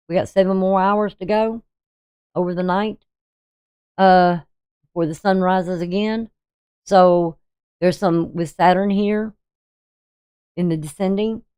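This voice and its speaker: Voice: medium pitch, fairly even intonation, takes it slowly, long pauses, darkish tone, clear, resonant tone. An astrologer in their sixties talking casually through a daily chart reading, rambling and hesitant, with many ums and restarts. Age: 60s.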